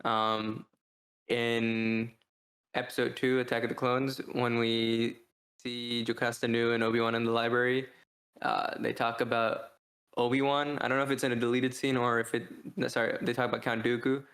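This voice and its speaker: A man's voice: mid-range voice, little pitch movement, normal speed, a bit bright. A man co-hosting a casual podcast book discussion, thinking aloud with hesitant restarts as he goes. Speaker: podcast co-host.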